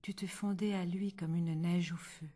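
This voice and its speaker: A woman reciting a poem in a hushed, half-whispered delivery. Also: lowish voice, fairly even intonation, normal speed.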